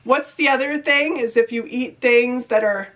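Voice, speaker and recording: A woman's voice: a little high, normal speed, fairly bright tone. A young adult woman with a stuffy, congested voice, chatting casually and rambling through her thoughts in a diet vlog. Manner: chatty, rambling, self-questioning. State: congested from a cold. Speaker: vlogger.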